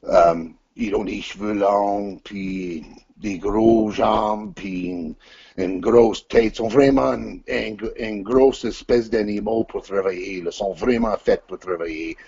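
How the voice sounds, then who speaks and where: A man whose first language is English, speaking French haltingly in an interview over an audio call and searching for words with many restarts. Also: lowish voice, vocal fry, a little mellow, breathy edge, muffled recording. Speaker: interview guest, team manager.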